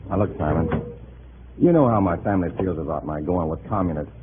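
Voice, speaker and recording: A man's voice: low voice, fairly quick, natural voice. An actor playing a troubled undercover man pleading with his family in a 1950s radio drama. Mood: uneasy, pleading. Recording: tinny, noisy old radio recording.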